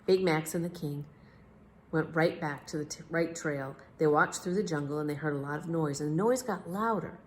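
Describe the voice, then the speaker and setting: A woman reading a children's story aloud as a storyteller, with expressive, measured phrasing. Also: sits low, a little hiss or hum.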